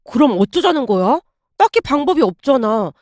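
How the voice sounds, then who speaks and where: A woman's voice: high voice, sing-song, moderate pace, darkish tone, no room sound, clean studio sound. A woman voicing an exasperated, indignant young man in a dramatized story, blunt and scolding. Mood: frustrated.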